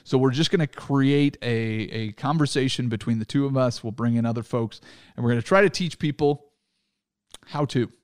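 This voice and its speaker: A male podcast host in a relaxed, rambling flow. His voice sits mid-range and he leans on plenty of ums and uhs. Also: medium pitch, moderate pace, clean tone. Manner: loose, rambling, filler-heavy. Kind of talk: casual podcast chat.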